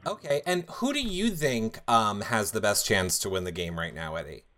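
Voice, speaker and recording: A show host conducts an interview on a call, asking questions off the cuff. The pitch moves up and down in a very lively way. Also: moderate pace, a crowd in the background.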